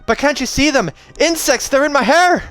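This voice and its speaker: A man's voice: extremely high pitch, lively intonation, normal speed, a bit bright, voice pushed up. A man acts out a wild young seer woman in a fantasy audiobook. The delivery is mystical and dramatic, like an incantation from someone haunted. Music plays behind the words. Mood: panicked. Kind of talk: audiobook character acting.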